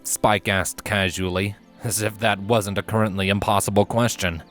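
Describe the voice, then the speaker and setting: A man reading a fiction story aloud as its narrator, in an expressive scripted style. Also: on the low side, moderate pace, faint music behind.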